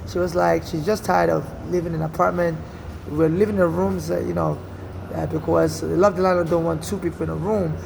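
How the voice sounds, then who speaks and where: Nigerian man, high gravelly voice, rambling earnest monologue, traffic noise behind. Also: little pitch movement, brisk. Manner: earnest, rambling, frequent restarts and "you know". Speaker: storyteller recounting personal experience. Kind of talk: casual first-person monologue.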